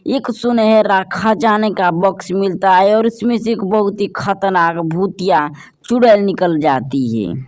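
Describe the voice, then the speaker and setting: A woman's voice: medium pitch, unhurried, a little mellow. A woman reading a scripted narration for a cartoon story.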